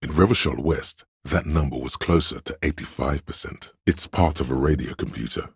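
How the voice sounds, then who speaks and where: A man voicing an erudite, composed know-it-all inner voice in a video game, delivering measured, precise, matter-of-fact exposition. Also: dry recording, clean studio sound, exaggerated voice. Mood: learned, matter-of-fact. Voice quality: very deep, gravelly, husky. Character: encyclopedic inner voice, a learned, composed male know-it-all narrator. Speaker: game voice actor. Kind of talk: scripted game narration, expository.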